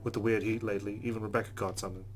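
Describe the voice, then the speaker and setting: A man's voice: on the low side, level tone, racing, over music. A man reading a visual novel aloud as a let's play host, in a narrating style.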